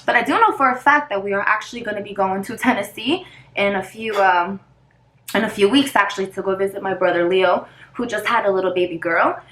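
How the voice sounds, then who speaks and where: A woman's voice: mid-range voice, brisk, a bit bright, a little hiss or hum. Chatty woman in an echoey room. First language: Spanish. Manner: chatty, rambling, loses her place and restarts; talks while doing her makeup. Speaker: vlogger. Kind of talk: casual get-ready-with-me chat.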